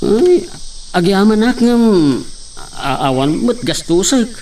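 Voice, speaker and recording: A man's voice: high-pitched, big pitch swings, slow, a little mellow, exaggerated voice. A young man playing an earnest son, narrating his story in a plain, earnest way. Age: young adult. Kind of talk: amateur drama acting and narration. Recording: distant mic with clear insect noise.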